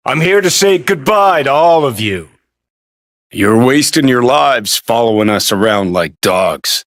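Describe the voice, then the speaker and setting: A slow-drawling, cynical rebel rocker. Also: male voice, medium pitch, lively intonation, a bit bright. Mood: angry, shouting. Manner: sardonic, profane, taunting drawl. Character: cynical, brash, rebellious rocker terrorist, adult man. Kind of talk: video game voice acting.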